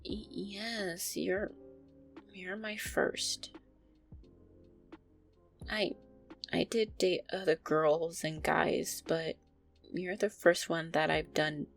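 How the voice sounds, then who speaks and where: A woman acts out an affectionate vampire girlfriend in an intimate audio roleplay. Her voice sits fairly low with plenty of fry, and she speaks in a hesitant, conversational way, easily flustered. Music plays softly behind her. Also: steady tone, very slow, long pauses, exaggerated voice. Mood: flustered, bashful. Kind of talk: intimate audio roleplay, first-person storytelling to a listener.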